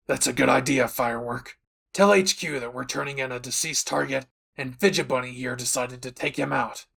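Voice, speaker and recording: Give a gravelly, mid-pitched read of a short-tempered anime hero played by a man. He is blunt and sarcastic, with menace under every line. It is an audio roleplay, so keep the gruffness steady. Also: fairly quick, heavily acted. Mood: sarcastic, irritated.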